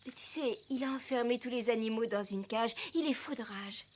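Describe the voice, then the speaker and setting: A woman voicing a tender, loyal young circus girl in a cartoon, gentle and earnest. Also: on the high side, moderate pace, a little hiss or hum, natural voice. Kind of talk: cartoon voice acting.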